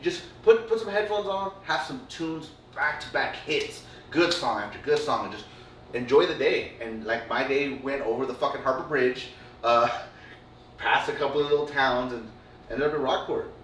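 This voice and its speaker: A man's voice: medium pitch, moderate pace, a bit bright. A man telling long rambling stories in casual chat, full of fillers and swearing. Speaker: podcast co-host. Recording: roomy, distant mic, faint room noise.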